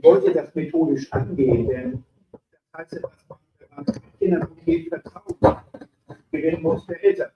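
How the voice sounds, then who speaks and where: A man's voice: a little high, wildly swooping pitch, normal speed, vocal fry, slight background noise. A man moderating a panel discussion and asking thoughtful questions. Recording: dull, echoey room, distant mic.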